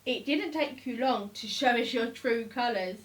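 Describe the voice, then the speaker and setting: A woman's voice: a little high, measured pace, close to an everyday voice. A woman voicing astonished, good-humoured elephants in a children's storybook, with fond, teasing, emphatic exclamations. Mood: fond amusement.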